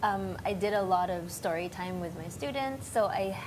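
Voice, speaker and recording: A woman's voice: mid-range voice, moderate pace, noisy background. A woman, an early learning teacher who voices a role, talking cheerfully about her experience.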